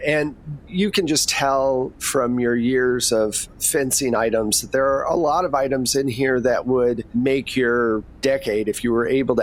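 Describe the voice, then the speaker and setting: A man working as game master, narrating a tabletop game in an informal, conversational way. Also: medium pitch. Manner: informal, chatty.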